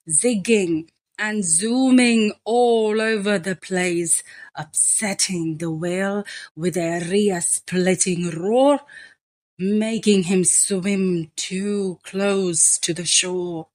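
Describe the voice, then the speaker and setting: A woman reading a rhyming picture book aloud as a bedtime story, slow, gentle and rhythmic. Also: medium pitch. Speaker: storybook reader.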